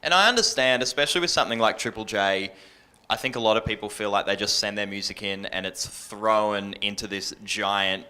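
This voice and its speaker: A man's voice: on the low side, fairly quick, sharp tone, clean tone. A man speaking informally as a panellist, offering his opinion.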